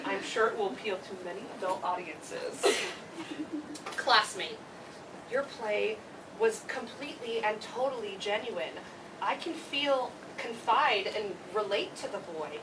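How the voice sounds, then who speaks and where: A woman playing an effusive, gushing schoolteacher with emphatic, deliberate phrasing in an amateur stage play reading. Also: mid-range voice, animated, natural voice. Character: effusive, gushing schoolteacher praising her students. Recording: distant mic, clearly noisy live room.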